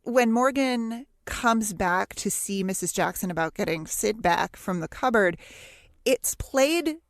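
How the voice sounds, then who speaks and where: Female podcast co-host, mid-range voice, measured and thoughtful analysis in a casual register, dry close recording. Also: mid-range voice, animated, no room sound.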